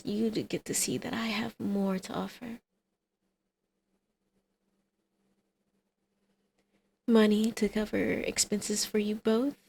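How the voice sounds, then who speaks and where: A woman's voice: mid-range voice, gravelly, a little mellow, a little hiss or hum, close to an everyday voice. A woman playing a brazen, scheming supervillain mother in an ASMR roleplay, teasing, smug and coaxing. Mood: coaxing, sly.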